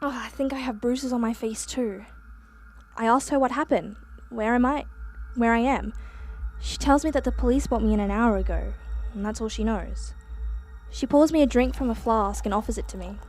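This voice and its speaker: High-voiced breezy young woman actor. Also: moderate pace, darkish tone, faint music behind, natural voice. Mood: dazed, pained. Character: naive, breezy young woman influencer-wannabe reporter. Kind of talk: radio play acting.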